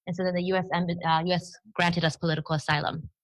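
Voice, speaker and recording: A woman's voice: lowish voice, little pitch movement, fast talker. A woman in her thirties, born in Romania with Romanian as her first language, telling her family story in a chatty conversational interview. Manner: chatty, storytelling, laughs easily. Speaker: guest.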